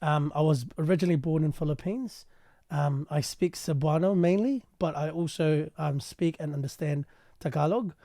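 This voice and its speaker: A man translating and explaining a news clip in a casual, halting way with many pauses. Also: a little high, dark tone, no room sound, studio quality. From New Zealand. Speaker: translator and commentator.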